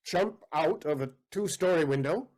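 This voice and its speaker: A man's voice: fairly high pitch, normal speed, darkish tone, close to an everyday voice. A man acting a jealous, imperious count in a comic period play, haughty and theatrical. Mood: exasperated. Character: jealous, imperious count, suspicious of his servant.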